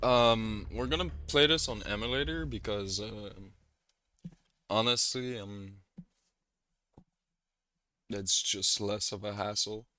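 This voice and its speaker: A man's voice: fairly low pitch, slow, pauses often, pushed and bright. A man hosting a let's play, chatting casually in a laid-back way. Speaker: gaming video host. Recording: faint background noise.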